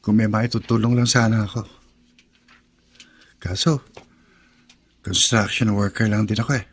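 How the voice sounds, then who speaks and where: An actor with a Filipino accent playing a kind, humble young construction worker in a radio drama, speaking earnestly and gently. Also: male voice, lowish voice, fairly even intonation, a little slow, husky. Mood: sympathetic.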